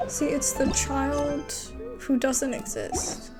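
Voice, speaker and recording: A woman's voice: high voice, fairly even intonation, slow. A woman giving casual gameplay commentary in an informal, slangy way, as a gaming creator. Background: game music.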